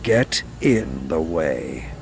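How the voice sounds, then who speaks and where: Deep, grave veteran commander. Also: male voice, big pitch swings, drawn-out words, creaky, full character voice. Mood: stern, commanding. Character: stern veteran male military commander briefing a soldier. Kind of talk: scripted video game mission briefing. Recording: loud music behind the voice.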